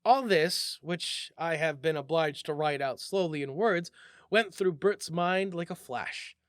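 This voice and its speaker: A man giving an expressive, theatrical storybook narration as a story reader. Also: a little high, measured pace, clear, resonant tone, no echo, studio quality.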